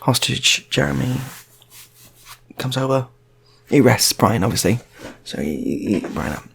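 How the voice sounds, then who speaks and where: A man's voice: mid-range voice, animated, measured pace, gravelly, a bit bright, a little hiss or hum. A man telling an improvised story in a casual, rambling way, often repeating his phrases.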